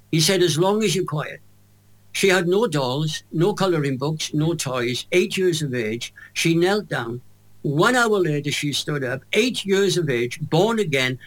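A man's voice: a little high, low-quality recording. An older Welsh man, an evangelist guest on a radio interview, telling stories emphatically with humour. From Wales. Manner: emphatic, animated storyteller with humour.

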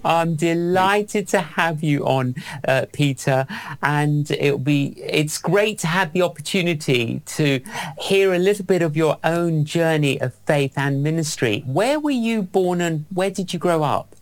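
A man hosting a faith radio interview, asking questions in a measured, encouraging way. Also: on the high side, animated. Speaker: radio host.

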